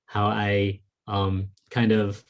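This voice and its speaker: Soft-spoken man with a low voice. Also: completely flat delivery, takes it slowly. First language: Vietnamese. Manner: thoughtful, with frequent "like" and "um" fillers. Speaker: interviewer, fellow author. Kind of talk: casual conversation.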